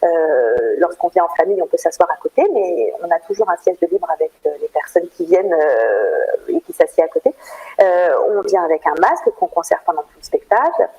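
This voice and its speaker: A woman answering radio interview questions in an explanatory way, often pausing as she searches for words. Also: fairly low pitch, animated, warm tone, breathy edge, rough recording. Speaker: theatre programme manager, interview guest.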